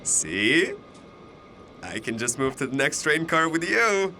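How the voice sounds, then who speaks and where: A man's voice: very animated, slow, over music, heavily acted. A man acting in a naturalistic audio drama, talking in a casual, slangy way. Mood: chatty, insistent. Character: young male university student commuter confronted by chatty stranger. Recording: faint train noise. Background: subway car rumble.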